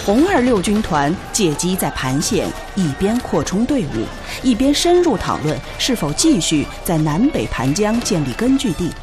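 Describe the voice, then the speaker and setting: A woman delivering scripted narration for a radio drama. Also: medium pitch. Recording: clear music behind the voice.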